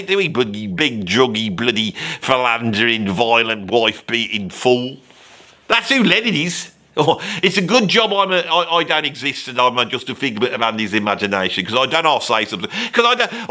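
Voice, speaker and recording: A man's voice: mid-range voice, expressive, normal speed, pushed and bright. A man voicing a blunt, opinionated bloke with a Birmingham accent, ranting and swearing in a comic monologue.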